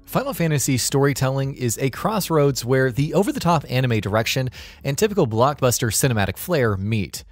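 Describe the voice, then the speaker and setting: A man's voice: mid-range voice, animated, a little fast, dry recording, soft background music. A man reading a scripted video narration as the narrator.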